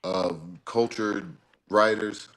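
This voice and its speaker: A man chatting casually as a guest, laid-back, telling stories in a drawn-out way. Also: fairly low pitch, steady tone, crowd noise, low-quality recording. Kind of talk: casual chat.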